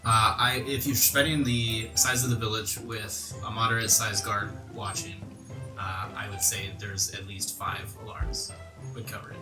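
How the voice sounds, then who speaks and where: A man's voice: fairly low pitch, flat delivery, moderate pace, recorded from a distance. A man narrating and ruling as game master in a tabletop game. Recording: roomy, off-mic, music underneath. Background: music.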